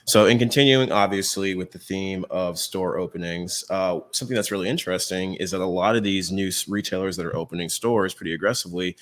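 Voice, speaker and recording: A man's voice: deep voice, fairly quick, few pauses, fairly bright tone. A man co-hosting a talk, explaining trends in a casual, chatty way and often checking in with the listener. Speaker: co-host presenting retail trends. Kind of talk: casual conversational presentation.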